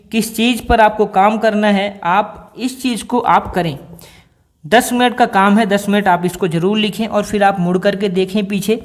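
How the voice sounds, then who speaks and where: A man giving a spoken singing lesson, patient and explanatory, repeating key points and posing rhetorical questions to his students. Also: high-pitched. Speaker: singing teacher.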